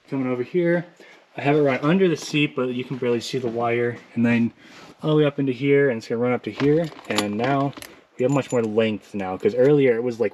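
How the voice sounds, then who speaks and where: A man's voice: mid-range voice, slight background noise. A man talking casually and informally through a hands-on how-to, rambling a little as he explains. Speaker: hobbyist presenter of a how-to video. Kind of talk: casual hands-on tutorial talk.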